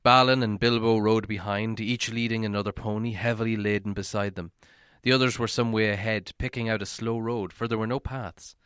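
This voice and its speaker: A man reading a story aloud as narration, in a measured, dramatic storytelling manner. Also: lowish voice, fairly even intonation, fairly bright tone, clear, resonant tone, dry recording, studio quality. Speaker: audiobook reader.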